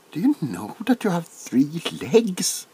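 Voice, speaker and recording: A soft-spoken man playing a nervous, eccentric doctor in a comedic ASMR roleplay, hesitant and repetitive, often dropping into whispers. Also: sits high, husky, voice pushed up, exaggerated voice. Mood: stressed, flustered. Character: nervous, flustered, eccentric male doctor examining a monster. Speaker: ASMR performer. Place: small cluttered room at home. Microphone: close to the camera.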